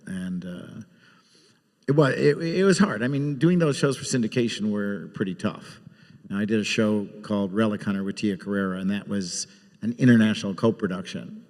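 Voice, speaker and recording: Male producer, panel guest, casual talk, lower pitch, echoey hall. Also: a little mellow. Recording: echoey hall with long reverb.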